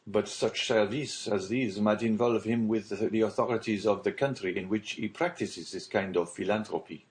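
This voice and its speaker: A man voicing an educated young gentleman traveller in an audiobook, speaking in a formal, measured, polite and questioning manner. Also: fairly low pitch, steady tone, lightly acted.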